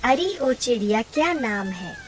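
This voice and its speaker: A woman plays a bossy cartoon bird, sharp-tongued and scheming, with a scolding manner. Her voice is fairly high, with faint noise behind it. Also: very animated, measured pace. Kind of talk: Hindi cartoon story acting.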